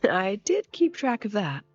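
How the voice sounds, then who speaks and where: An actress playing a polite, reserved, overworked academy president in a video game. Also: female voice, medium pitch, big pitch swings, slow pace, a little mellow, husky, heavily acted. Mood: weary, friendly. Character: composed, courteous, overworked female academy president. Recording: dull lo-fi game audio, faint music.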